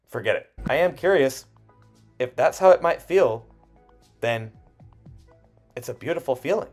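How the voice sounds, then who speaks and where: A man narrating a personal video essay in an expressive way, with self-deprecating asides and dramatic pauses. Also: mid-range voice. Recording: music under the voice. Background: background music.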